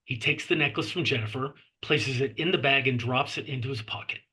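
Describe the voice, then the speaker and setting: Bright, husky male script narrator. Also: medium pitch, brisk. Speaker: narrator reading stage directions.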